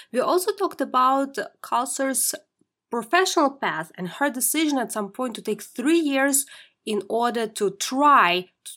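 A woman's voice: fairly high pitch, fairly bright tone. A woman hosting a podcast interview, upbeat and emphatic as she introduces her guest and asks questions. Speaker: podcast host.

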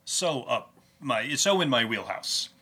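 A man's voice: medium pitch, big pitch swings, unhurried, pushed and bright, slightly rough. A man hosting a casual fan discussion, explaining with nerdy enthusiasm. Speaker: podcast host.

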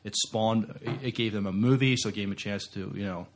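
A man co-hosting a podcast in casual discussion, halting and often repeating the starts of words. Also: on the low side. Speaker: podcast co-host.

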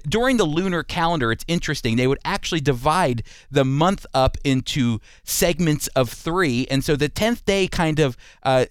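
A man with a smooth, resonant voice at an average pitch delivers a teaching sermon. He explains scripture deliberately and leans on key phrases for weight. The sound is dry and close, with very little room on it. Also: dry recording. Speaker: preacher and Bible teacher.

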